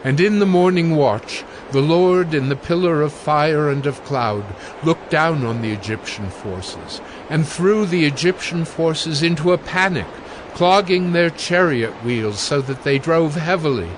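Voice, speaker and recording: A man narrating scripture in a scripted, dramatic style, solemn and measured. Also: a little high, noisy background.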